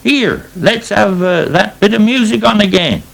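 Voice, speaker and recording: Creaky-voiced old country farmhand. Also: male voice, high-pitched, measured pace, exaggerated voice. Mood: wry. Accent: English West Country. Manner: rustic, grumbling. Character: older male farm worker, proud, grumbling, rustic. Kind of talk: radio soap acting.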